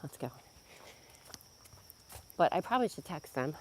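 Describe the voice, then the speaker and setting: A middle-aged woman with a hoarse, worn voice, chatting casually to a phone camera while walking outdoors by a pond, rambling with many fillers. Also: deep voice, big pitch swings, unhurried, a little hiss or hum. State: hoarse, losing her voice. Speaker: vlogger and yoga teacher. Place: outdoors on a nature walk by a pond. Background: crickets and insects outdoors.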